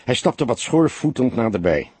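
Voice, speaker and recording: A man the reading a crime story as an audiobook, in a measured, expressive storytelling manner. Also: medium pitch, husky, no room sound. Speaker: audiobook narrator. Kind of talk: scripted literary narration of a crime story.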